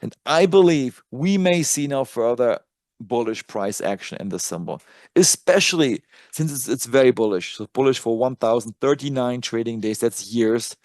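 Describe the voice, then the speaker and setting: A man who is a native German speaker talks through stock charts live in a medium-pitched voice. He is conversational and thinks aloud as an analyst would. The sound is dry, with very little room in it. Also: expressive, moderate pace, darkish tone, no room sound. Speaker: trading analyst and presenter.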